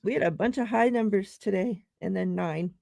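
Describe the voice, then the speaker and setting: A woman's voice: mid-range voice, moderate pace, gravelly, mellow, no echo. A woman hosting a casual live-stream chat, laughing often and reading out giveaway numbers. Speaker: show host.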